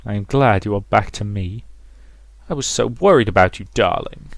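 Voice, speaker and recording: A man voicing a possessive, sinister ghostly mother in a visual novel, slow, drawn-out and cloying. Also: on the low side, gravelly, no room sound. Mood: sweetly menacing.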